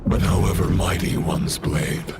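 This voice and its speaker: An actor playing a grim, lofty fallen knight in a video game, declaiming in grandiose, archaic phrases. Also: male voice, low voice, fairly even intonation, a little slow, creaky, very noisy. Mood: brooding, solemn. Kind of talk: dramatic video game voice acting. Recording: loud music under the voice.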